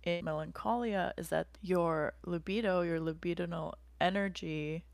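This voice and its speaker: A young American woman chats through ideas as a podcast co-host, thinking aloud with likes and ums. Her voice is low and gravelly with a lot of fry. The sound is dry and close, with no room around it. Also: normal speed, no room sound. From United States. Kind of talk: casual conversational explanation.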